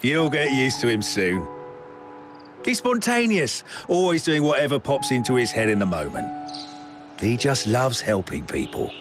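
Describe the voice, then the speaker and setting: An actor playing a kindly, chatty snack shop chef in a video game, with a folksy, expressive way of talking. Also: male voice, mid-range voice, moderate pace, close to an everyday voice. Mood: fond. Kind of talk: animated game dialogue. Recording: game music behind the voice.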